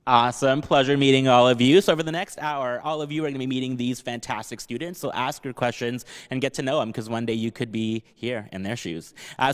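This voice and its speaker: A man with a mid-pitched voice hosts a live Q&A. He is upbeat and casual, and the room adds a long echo to his words. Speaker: host of a live student Q&A. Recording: echoey hall reverb.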